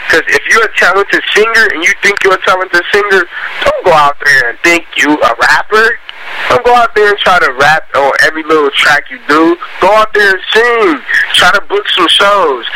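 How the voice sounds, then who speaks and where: A teenage boy, a singer, giving earnest and repetitive advice in a casual interview, his voice deep from the early morning. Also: pushed and bright, slight background noise, low-quality recording. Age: teens. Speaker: guest singer.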